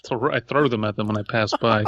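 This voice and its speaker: A man's voice: fairly low pitch, steady tone, fast talker, a bit bright, no echo, lo-fi sound. A man hosting a podcast with casual comedic banter, profane and joking. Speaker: podcast host.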